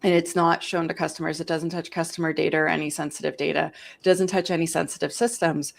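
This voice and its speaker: A woman in her forties hosting a security live stream, explaining at length in a talkative, animated storytelling way. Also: low-pitched, quick pace, gravelly. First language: English. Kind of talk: casual explanatory chat.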